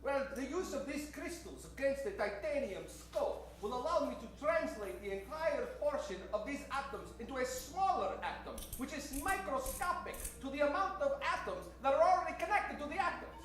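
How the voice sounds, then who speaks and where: A woman in improvised radio comedy, playing an earnest, boastful male Russian scientist with halting, florid delivery. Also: sits high, lively intonation. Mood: earnest, intense. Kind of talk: improvised old-time radio comedy acting. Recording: very echoey stage.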